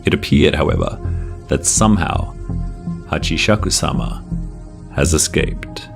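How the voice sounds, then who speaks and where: Male narrator, very low voice, scary folktale narration, measured and dramatic, drawn-out phrases, faint music behind. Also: wildly swooping pitch, gravelly. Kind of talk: scripted horror folktale narration.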